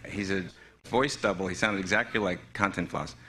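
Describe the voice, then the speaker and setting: A middle-aged man telling a humorous anecdote on stage with halting, stammering delivery. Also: deep voice, pushed and bright. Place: indoor stage. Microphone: podium microphone. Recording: noisy live stage recording.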